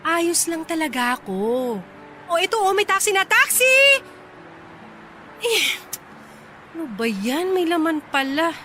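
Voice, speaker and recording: An actress playing a proud, easily irritated but bashful young office boss in a Filipino radio drama, with a Filipino accent. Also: female voice, very high-pitched, big pitch swings, measured pace, pauses often, bright, forceful tone, a little hiss or hum, heavily acted. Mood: hesitant, bashful. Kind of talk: radio drama acting in Taglish.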